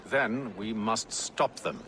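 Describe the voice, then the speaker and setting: Measured commander, faint noise. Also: male voice, on the low side, a bit bright, low-quality recording. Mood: commanding. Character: measured, commanding male Templar leader. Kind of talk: video game cutscene acting.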